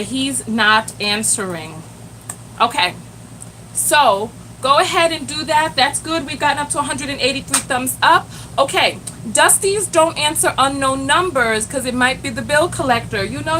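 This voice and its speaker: High-voiced woman hosting a live stream. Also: moderate pace, a little hiss or hum, poor audio. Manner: animated, addresses the chat directly, exclaims "Lord have mercy". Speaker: live-stream host and self-love coach. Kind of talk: casual live-stream chat with viewers.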